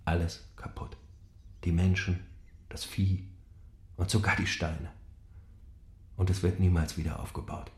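A man playing a brooding, despairing figure in a radio play, halting and absent-minded, lost in memories. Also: deep voice, level tone, darkish tone. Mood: bleak, despairing. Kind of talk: radio play acting, murmured singing and inner thoughts. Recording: roomy, echoing sound.

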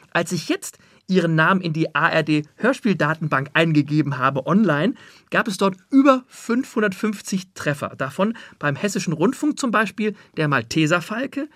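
High-voiced male radio interviewer. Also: fairly bright tone, no room sound, clean studio sound. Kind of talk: prepared interview questions.

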